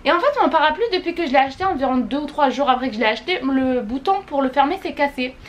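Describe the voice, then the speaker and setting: Gushing young woman vlogging, slightly high. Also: expressive, fast. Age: young adult. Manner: gushing, repeats words for emphasis. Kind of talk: casual monthly recap vlog. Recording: faint background noise.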